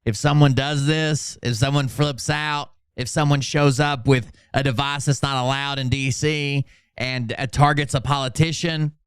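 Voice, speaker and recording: A man's voice: medium pitch, steady tone, moderate pace, clean tone, dry recording. A man hosting a casual livestream commentary, talking in a sarcastic and profane way. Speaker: channel host doing mocking dramatic readings.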